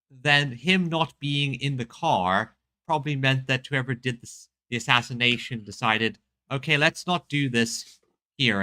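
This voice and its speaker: A game streamer giving casual, halting commentary, often restarting thoughts. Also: mid-range voice, bright, forceful tone.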